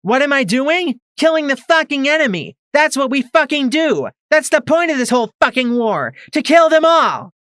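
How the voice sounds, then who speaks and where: A man's voice: very high voice, big pitch swings, normal speed, few pauses, fairly bright tone, dry recording, studio quality, pitched above the performer's own voice, heavily acted. A man voicing a furious, vicious young female pony soldier who screams, swears and sneers. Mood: enraged.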